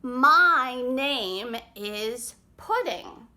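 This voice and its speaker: A woman voicing a gentle, lost garden snail in a picture book, speaking in a very slow, drawn-out way. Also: high-pitched, expressive, heavily acted. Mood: slow, forlorn. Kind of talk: picture book read-aloud.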